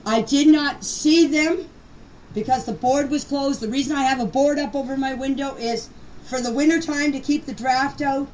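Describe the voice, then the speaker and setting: An older woman with a high voice gives an informal, plain-spoken account of events. She stresses her points and repeats them. It is a voice message picked up at some distance, with faint noise in the recording. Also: steady tone, normal speed, darkish tone. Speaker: grandmother recounting events. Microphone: voice clips recorded for a group chat.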